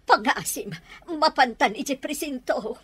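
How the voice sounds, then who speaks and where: Woman acting high-voiced, anguished middle-aged mother. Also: measured pace, fairly bright tone. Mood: anguished, urgent. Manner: anxious, wailing, pleading. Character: distraught middle-aged mother fretting over her missing child. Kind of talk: Ilocano radio drama acting.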